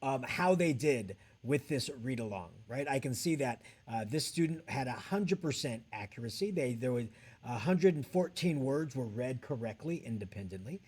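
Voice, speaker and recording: Man walking through a software tutorial. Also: mid-range voice, lively intonation, normal speed, husky. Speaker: edtech trainer and presenter. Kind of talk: software tutorial screencast, explaining step by step.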